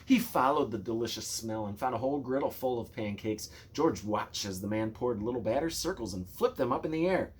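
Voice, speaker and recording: A man narrating a children's storybook in an expressive, playful way, speaking directly to young listeners. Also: mid-range voice, brisk, few pauses, a little hiss or hum. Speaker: storybook reader.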